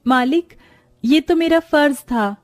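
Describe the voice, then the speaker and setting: A woman's voice: high voice, slow pace, no room sound, soft background music, pitched above the performer's own voice. A woman voicing a loyal, anxious young servant woman in a moral story, earnest and deferential. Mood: humble.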